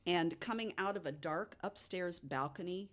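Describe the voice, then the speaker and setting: A woman with a distinctly low-pitched voice tells a ghost story in a conversational podcast style. She lays it out step by step and leaves dramatic pauses along the way. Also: a bit bright. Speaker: podcast guest co-host.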